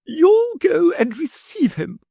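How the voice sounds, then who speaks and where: Male reader as a haughty scheming noblewoman: shrill, falsely sweet, wildly swooping delivery. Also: very animated, takes it slowly, dark tone, dry recording, studio quality, heavily acted. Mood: imperious. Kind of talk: audiobook character reading.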